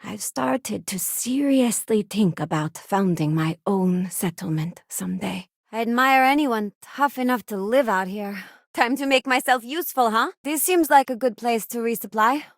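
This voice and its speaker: Young woman space adventurer played by an actress, video game companion, higher-pitched, earnest, idealistic, conversational, very dry sound. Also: normal speed, no echo, close to an everyday voice. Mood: friendly, upbeat.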